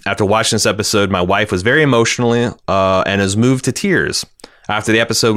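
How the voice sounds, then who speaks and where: A man with a fairly low voice hosts a casual discussion podcast. He thinks aloud, rambling and doubling back on his words as he works through an opinion or reads out feedback. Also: a bit bright. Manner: halting, rambling, repeats words as he reasons. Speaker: podcast host reading listener feedback.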